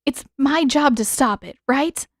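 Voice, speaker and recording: A woman's voice: high voice, measured pace, no room sound, studio quality, voice pushed up. A woman voicing a determined teenage girl heroine in a storybook, earnest and urgent.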